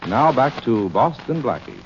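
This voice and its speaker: A man's voice: mid-range voice, sing-song, unhurried. A man narrating a scripted story recap in the style of a 1940s radio announcer. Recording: muffled old radio recording, music behind.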